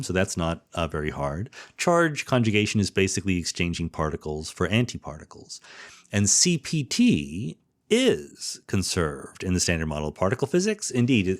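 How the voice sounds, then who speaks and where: A man hosting a podcast, answering listener questions in an informal, explanatory way and correcting himself as he goes. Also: lowish voice. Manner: informal, didactic, self-correcting. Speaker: podcast host.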